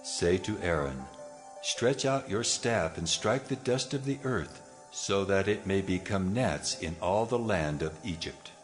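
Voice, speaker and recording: A man playing God in a dramatized Bible reading, speaking in a stern, measured, declamatory way. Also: low-pitched, expressive. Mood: solemn, commanding. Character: God, a solemn, commanding divine voice. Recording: music bed behind the voice. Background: music.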